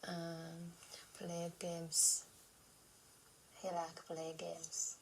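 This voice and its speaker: A woman taking a speaking exam, giving short, halting answers with many "uh"s. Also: fairly low pitch, monotone, creaky, slight background noise, rough recording. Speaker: exam candidate.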